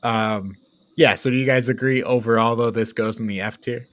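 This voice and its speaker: A man's voice: medium pitch, fairly even intonation, normal speed, fairly bright tone. A man hosting a casual review chat, talking informally with his co-hosts. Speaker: host of a film ranking show.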